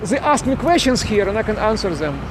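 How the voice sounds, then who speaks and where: A man's voice: high voice, big pitch swings, fairly quick. A man from Ukraine, broadcasting live from a city street on a phone, answering viewers in broken English and often restarting his words. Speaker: parent livestreaming a protest. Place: outdoors on a city street with traffic. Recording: noisy outdoor phone recording with traffic.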